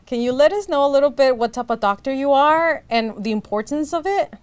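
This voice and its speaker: A woman's voice: high voice, a little fast. A middle-aged woman hosting an interview, asking friendly questions on a lapel mic in a studio set. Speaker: interviewer host. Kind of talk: interview conversation.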